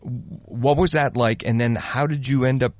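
A man's voice: medium pitch, fairly even intonation, moderate pace, darkish tone, no echo. Male interviewer, dry close sound. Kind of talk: casual conversational interviewing.

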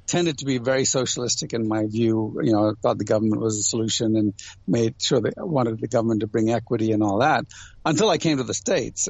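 A man's voice: medium pitch, a little mellow, dry recording, highs cut off. A man, an interview guest who grew up in Ghana and other countries in an Indian family, explaining things through anecdotes in a conversational way. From Ghana and other countries abroad, Indian family. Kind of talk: conversational interview, personal storytelling.

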